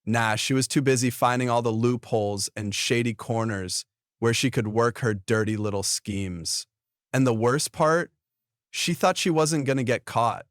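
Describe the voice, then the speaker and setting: Man, online commentary host, mocking, opinionated, mid-pitched, dry sound. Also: moderate pace, no echo. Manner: blunt, mocking, taunting.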